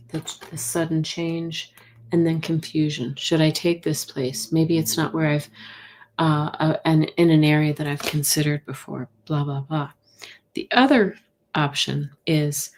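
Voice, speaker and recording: A soft-spoken woman reading tarot cards on a live stream, gently thinking aloud and answering viewers by name. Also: deep voice, a little hiss or hum.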